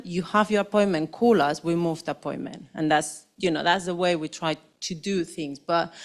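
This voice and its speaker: A woman doctor speaking on a conference panel, earnest and rambling, with non-native phrasing. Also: lowish voice. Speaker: MPN specialist doctor. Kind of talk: panel discussion remarks. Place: conference hall.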